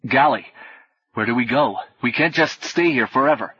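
A man playing an anxious, protective young man who speaks low, urgent and earnest. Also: moderate pace, bright, forceful tone, slightly rough, no room sound, highs cut off.

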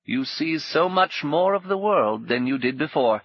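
A bitter, rueful old woman, as a male narrator puts her on speaks up emphatically, her voice lifted above his own and pushed a little louder. The tone is husky, and the recording is dull and lo-fi. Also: a little high, animated, a little slow, dry recording, pitched above the performer's own voice. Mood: wry warning. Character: rueful old woman fallen on hard times.

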